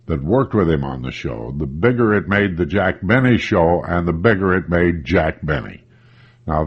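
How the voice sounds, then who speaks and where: A husky-voiced man reminiscing reflectively as an interviewee, with deliberate, emphatic phrasing. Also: sits low. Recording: dull archival interview tape.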